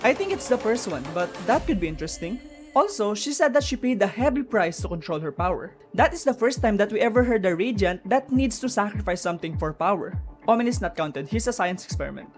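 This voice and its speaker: A woman's voice: mid-range voice, animated, a little fast. A woman giving an informal explainer commentary, chatty and speculative, talking straight to viewers. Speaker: video narrator explaining game lore. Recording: music under the voice. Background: background music.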